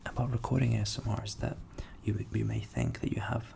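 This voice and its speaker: A young man who grew up in England with Ukrainian as his first language, whispering softly as an ASMR host answering viewer questions and thinking aloud. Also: on the low side, little pitch movement, fast, gravelly, background noise. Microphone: studio USB microphone.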